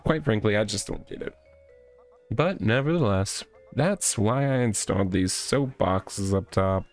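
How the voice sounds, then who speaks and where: A man voicing a rambling, oddly proud corporate mascot stuck in a cardboard box, halting and earnest, in a comedic visual novel read-aloud. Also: on the low side, lively intonation. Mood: earnest, wistful. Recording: music behind the voice.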